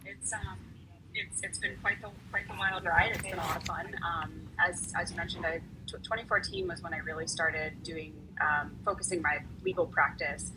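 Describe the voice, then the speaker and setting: A woman's voice: lowish voice, fairly even intonation, moderate pace. A woman lawyer speaking as a guest on a panel, telling her story in a chatty way and often repeating words as she goes. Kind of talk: casual panel conversation. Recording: noisy, hissy recording.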